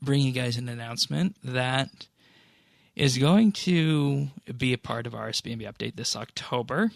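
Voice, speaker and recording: A man hosting a podcast, reading out announcements and updates in a measured, businesslike way. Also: mid-range voice, creaky, dry recording. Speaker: podcast host.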